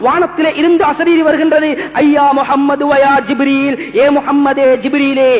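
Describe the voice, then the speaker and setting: A male preacher delivers an Islamic sermon in an extremely high-pitched voice. His delivery is dramatic and urgent, built on repetition, rhetorical questions and recited Arabic verses. The recording is dull and muffled, with long hall reverberation around every phrase. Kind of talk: impassioned Islamic sermon with Arabic scripture quotations.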